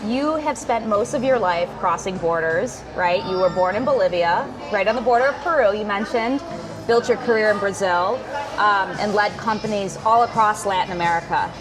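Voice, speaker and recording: A woman's voice: medium pitch, moderate pace, over music. A woman hosting a podcast, reading a polished introduction and then asking questions. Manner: polished, friendly, brief backchannels. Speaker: podcast host. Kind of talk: scripted intro then interview.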